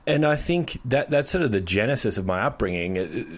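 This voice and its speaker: A man's voice: mid-range voice, animated, a little fast. A man in his forties with an Australian accent, telling stories about his upbringing as a podcast guest, in a chatty, joking way. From Australia (Perth). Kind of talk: casual interview chat.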